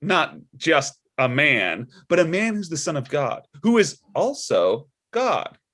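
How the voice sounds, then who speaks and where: A man's voice: a little high, very animated, slow, fairly bright tone, full character voice. A man playing a blunt, stubborn, unbothered father of a political candidate in a comedic table read. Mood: earnest.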